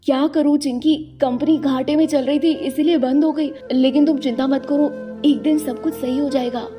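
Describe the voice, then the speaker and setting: A woman's voice: sits high, steady tone, moderate pace, warm tone, soft background music, close to an everyday voice. A woman voicing a troubled, proud young pigeon husband in a cartoon story, earnest and defensive. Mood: reassuring.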